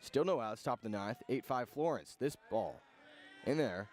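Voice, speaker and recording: A man's voice: mid-range voice, expressive, moderate pace, a little mellow, slight background noise. A man doing live radio play-by-play commentary of a baseball game outdoors.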